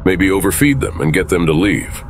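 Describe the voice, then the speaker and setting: A man acting in an audio drama as a calm, principled starship captain, measured and authoritative, with rumble behind him. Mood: thoughtful, decisive. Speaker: lead actor playing a starship captain.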